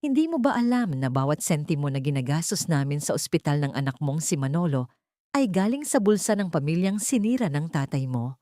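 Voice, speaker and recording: A woman's voice: low-pitched, very animated, fairly quick, dark tone, no echo, clean studio sound. A woman voicing a cruel, haughty older rich matriarch in an audiobook drama, imperious and scornful, with sweetness laced with venom. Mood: cold scolding.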